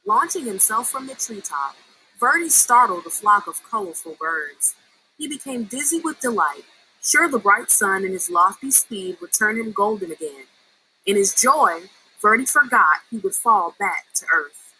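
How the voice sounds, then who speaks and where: A woman reading a children's story aloud in an expressive storytelling style. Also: mid-range voice, moderate pace, breathy edge, low-quality recording. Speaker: story reader.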